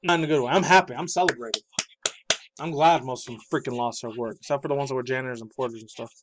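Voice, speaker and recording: A man in his thirties ranting about pop culture news, loud, profane and heated, shifting between yelling and low muttering, with a slightly raspy voice. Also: quick pace, heavy background noise. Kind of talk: angry ranting commentary.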